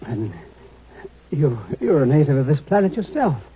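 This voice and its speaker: An actor playing a shaken, apologetic professor with halting, stammering speech in a 1950s radio drama. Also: male voice, a little high, very animated, breathy edge, heavily acted. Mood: shaken, sheepish. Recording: tinny, faintly noisy 1950s radio recording.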